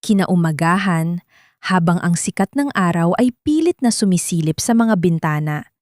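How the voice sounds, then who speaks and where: A woman's voice: mid-range voice, darkish tone, dry recording, studio quality. A woman narrating a scripted audio drama in a dramatic storytelling style.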